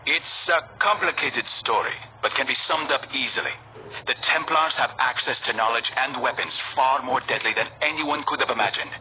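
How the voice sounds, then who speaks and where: Game lead: male actor as resolute assassin, guarded and measured, mid pitch, tinny phone-like sound with faint hiss. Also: lightly acted. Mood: determined. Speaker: video game protagonist. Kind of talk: video game cutscene acting.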